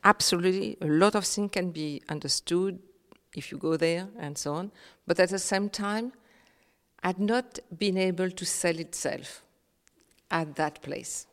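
A woman from Réunion, a Creole speaker, speaking earnestly as an interview guest, halting with many fillers and restarts. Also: lowish voice, in a large hall. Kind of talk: reflective conversational interview.

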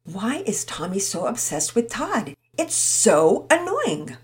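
A woman's voice: medium pitch, wildly swooping pitch, takes it slowly, voice pushed up. A woman voicing a friendly, thoughtful young boy in a storybook reading. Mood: earnest.

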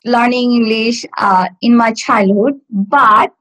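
A woman from Bangladesh whose first language is Bangla, talking in a halting way with many fillers and restarts as a learner on a video call. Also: on the high side, a bit bright, low-quality recording. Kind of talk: casual video-call interview. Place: home in Stockport.

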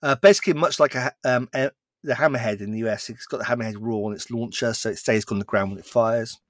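Male podcast co-host, middle-pitched, explains rules at length, dry recording. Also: quick pace, no echo. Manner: explains rules in long runs, with many restarts. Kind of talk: casual chat about tabletop wargame rules.

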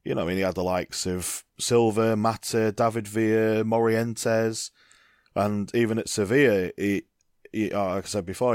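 A man's voice: fairly low pitch, a little mellow, dry recording, clean studio sound. A man co-hosting a casual football chat, rambling and leaning on "you know, I mean" as he goes. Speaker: football podcast co-host.

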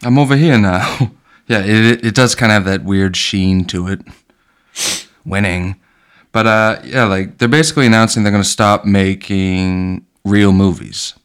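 A man's voice: on the low side, a little slow, fairly bright tone, clean tone. A man hosting a casual movie podcast, joking loosely and swearing freely. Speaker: podcast host. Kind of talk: casual chat about movies.

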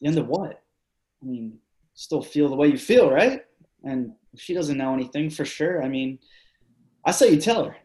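A man acting as an excitable, over-dramatic male best friend in a play reading, effusive and grandiose with slangy delivery. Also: on the high side, normal speed, long pauses, roomy sound, natural voice, poor audio. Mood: casual, prodding. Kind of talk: theatrical readers-theatre stage acting.

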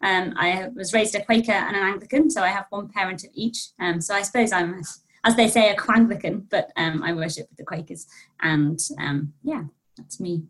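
Relaxed woman introducing herself. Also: mid-range voice, moderate pace, rough recording. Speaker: head of research, report author. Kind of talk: panel discussion on a video call.

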